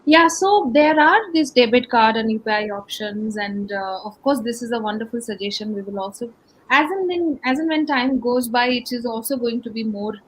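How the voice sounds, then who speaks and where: An Indian-accented woman speaks as an interview host. Her voice sits a little high and her delivery is conversational. Some noise runs under the recording. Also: lively intonation, moderate pace, poor audio. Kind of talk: conversational hosting.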